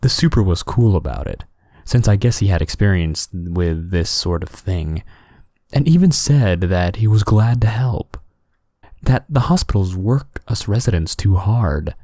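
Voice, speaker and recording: A man reads a scary story as soothing bedtime narration. His voice sits on the low side and has a dark, relaxed tone. The pitch moves in a lively way through the scripted lines, and the recording is very dry. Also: unhurried, no room sound.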